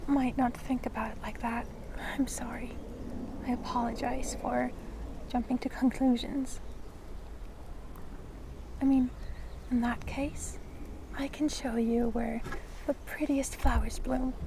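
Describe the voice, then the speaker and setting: A woman voicing a gentle, curious fae in an ASMR fantasy roleplay, soft-spoken and often slipping into whispers, hesitant and kindly. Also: high-pitched. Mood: hesitant, concerned, then soft, welcoming. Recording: clear background noise of forest ambience.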